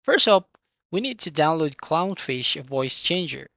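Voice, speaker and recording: A tutorial host giving step-by-step software instructions in an instructional manner, with a voice recorded close to the microphone. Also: mid-range voice, sing-song, measured pace, vocal fry, no room sound.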